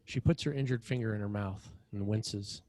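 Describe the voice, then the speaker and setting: A man's voice: fairly low pitch, normal speed, warm tone. A man reads scripted scene directions as the narrator of a screenplay table read.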